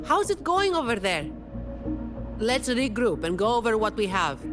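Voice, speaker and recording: A woman's voice: a little high, very animated, normal speed, over music. A woman voicing a shrewd, composed female fighter in a video game, with a cool, authoritative manner. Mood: analytical.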